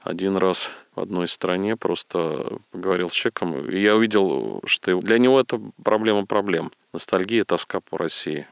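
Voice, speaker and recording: A middle-aged male interviewer with a very low, gravelly voice, talking in an easy conversational way and spinning long questions and anecdotes. The sound is dry and close to the mic. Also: moderate pace, few pauses, no echo. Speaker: interview host. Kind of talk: radio-style interview.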